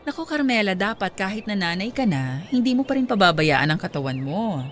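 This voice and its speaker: A woman's voice: mid-range voice, sing-song, a little fast, lightly acted. A woman playing a haughty, coquettish older rich employer in a Filipino radio drama, with affected socialite airs and a Filipino accent. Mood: condescending. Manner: affected socialite Taglish, condescending.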